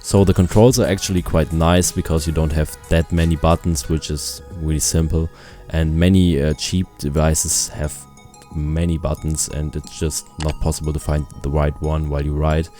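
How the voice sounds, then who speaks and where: A man giving a casual product review, explaining things in simple, halting phrases. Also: a bass voice, little pitch movement, warm tone, soft background music.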